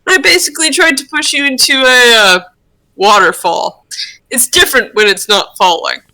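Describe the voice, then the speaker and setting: A woman's voice: fairly high pitch, normal speed, sharp tone, voice pushed up. A woman voicing a playful male creature with a tail, talking in a casual, teasing and reassuring way in an amateur roleplay reading. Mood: amused, reassuring.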